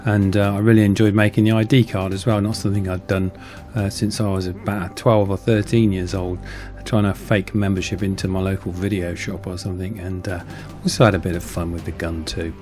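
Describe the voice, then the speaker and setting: Low-voiced man narrating a hobby build. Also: flat delivery, brisk, a little mellow, soft background music. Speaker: host of a prop-making channel.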